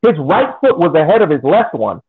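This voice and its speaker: A podcast co-host calmly laying out his argument with hypothetical examples. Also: on the high side, moderate pace. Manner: methodical, argues through hypotheticals. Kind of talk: casual sports debate. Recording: husky voice over a tinny phone-like line.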